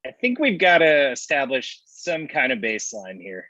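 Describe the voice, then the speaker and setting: Male comedy table read: actor as needling wisecracker, sharp animated voice, muffled lo-fi audio. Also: medium pitch, normal speed. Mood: probing.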